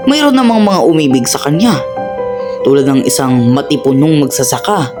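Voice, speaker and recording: A man acting as radio DJ and narrator, telling a folk tale slowly and deliberately. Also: on the high side, lively intonation. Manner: slow, deliberate storytelling. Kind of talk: storybook narration for a radio play. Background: music and birdsong.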